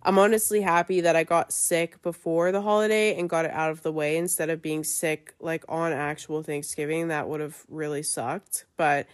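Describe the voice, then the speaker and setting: A young woman hosts her podcast with a chatty, easygoing flow, full of little fillers. Her voice sits a little low, and a cold leaves it stuffy and nasal. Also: level tone, moderate pace, few pauses. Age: young adult. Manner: chatty, lots of fillers and likes. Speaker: podcast host. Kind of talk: casual chat.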